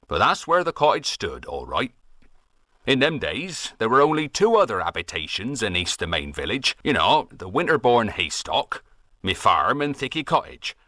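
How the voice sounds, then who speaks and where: A man's voice: on the low side, pushed and bright, no echo, studio quality. A man voicing an old West Country farmer who rambles on in folksy dialect with a West Country accent, grumbling at red tape. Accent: West Country English. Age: older.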